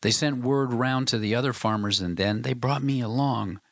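A man's voice: medium pitch, normal speed, no echo, studio quality, voice pushed up, lightly acted. A man voicing a tearful, faltering young wife. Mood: grieving. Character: young farm wife, grieving and frightened. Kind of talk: audiobook character reading.

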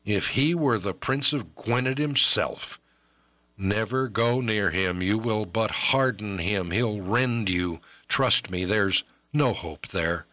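A man's voice: lowish voice, slow, bright, forceful tone, no echo. A man voicing a young noblewoman in love, pleading and tearful, in an audiobook reading. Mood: urgent. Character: young noblewoman, anguished and passionate lover.

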